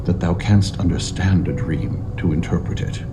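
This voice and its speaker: An actor playing a grave, regal king of ancient Egypt in a dramatised scripture reading, speaking in a stately, deliberate manner. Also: sits low, steady tone, noisy background, lightly acted. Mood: troubled, grave.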